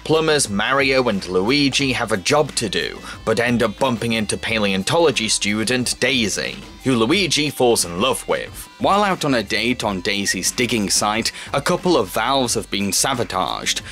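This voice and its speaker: A man's voice: on the low side, expressive, moderate pace, hardly any pauses, bright, forceful tone. Male film reviewer narrating over music. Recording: music under the voice.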